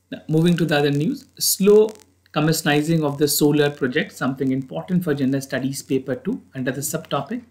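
A man with an Indian accent teaching a civil services news analysis lesson, switching between English and quick Hindi asides. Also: fairly high pitch. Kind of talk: news analysis lecture over slides. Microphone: voice-over recorded over slides.

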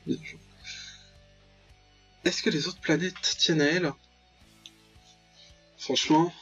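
A young man giving casual, jokey, mock-dramatic commentary while playing a visual novel, muttering asides and reading text aloud. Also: a little high. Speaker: gaming video host. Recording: dull lo-fi voice track over clear music.

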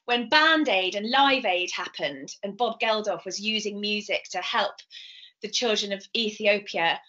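A middle-aged British woman explaining things in an interview over a video call, speaking in an animated, emphatic, passionate way. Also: medium pitch, measured pace. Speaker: composer and singer being interviewed. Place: indoors, home room. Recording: slightly dull video call audio.

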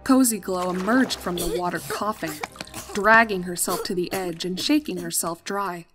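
A woman's voice: on the low side, normal speed. A woman reading scripted fan-fiction story narration as the narrator. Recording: music under the voice.

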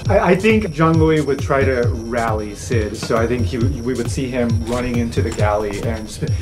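A man's voice: medium pitch, moderate pace, over music. A man playing a tabletop roleplaying game, talking casually through what his character would do. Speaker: player. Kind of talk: casual improvised roleplay chat.

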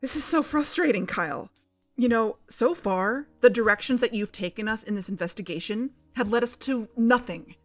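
A woman's voice: fairly high pitch, normal speed, faint music behind, close to an everyday voice. A woman playing a blunt, accusing investigator in an audio fiction podcast, with an emphatic, confrontational delivery. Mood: frustrated. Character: exasperated, blunt, accusing young female investigator.

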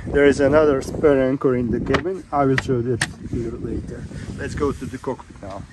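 A man's voice: mid-range voice, fairly even intonation, moderate pace. Man on a windy boat deck. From Croatia. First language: Croatian. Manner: plain, step-by-step instructions with restarts while handling gear. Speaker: yacht charter guide. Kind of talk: hands-on boat tutorial. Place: outdoors on a sailboat deck in a marina. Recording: loud wind noise on outdoor mic.

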